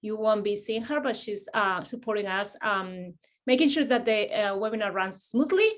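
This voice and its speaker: A woman's voice: mid-range voice, moderate pace. A middle-aged woman from Spain with a Spanish accent, hosting an admissions webinar in a friendly way, with many fillers and restarts. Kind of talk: webinar presentation.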